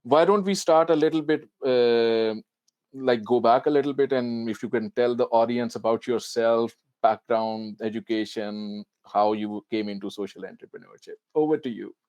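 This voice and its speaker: A man hosting an informal fireside chat, putting his questions together in a halting way. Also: mid-range voice, darkish tone, no echo, lo-fi sound. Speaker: event host and interviewer.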